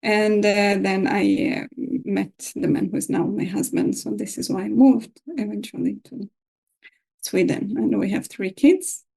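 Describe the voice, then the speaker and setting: A woman in her thirties, raised in Israel after being born in Russia, who answers interview questions in a hesitant way with many pauses. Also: mid-range voice, creaky, dark tone, slightly rough, poor audio. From Russia, grew up in Israel. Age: 30s. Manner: hesitant, many ums and uhs. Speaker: guest. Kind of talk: casual interview.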